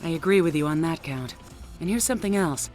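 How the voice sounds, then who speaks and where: A woman's voice: low-pitched, animated, brisk, darkish tone, soft background music. A woman playing a composed, decisive special-ops field leader in a video game, speaking in an assured, probing way. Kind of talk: English dub acting in a video game cutscene.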